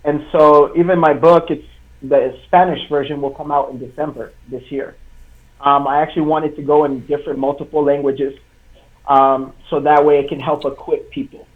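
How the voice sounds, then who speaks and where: A young man from Congo, a native French speaker, talking as a guest author on a video call, earnest and halting. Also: a little high, little pitch movement. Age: young adult. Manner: earnest, halting, many fillers and restarts. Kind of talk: live online interview. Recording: tinny video call line.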